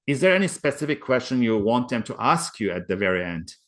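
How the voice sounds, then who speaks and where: Interview host, medium-pitched voice, conversational, informal, question-led. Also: big pitch swings, fast talker.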